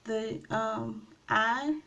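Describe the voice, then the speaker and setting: A woman chatting casually through a makeup tutorial, her voice slightly raspy from a coming cold, unhurried and trailing off with small pauses. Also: on the high side, pushed and bright. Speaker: beauty vlogger.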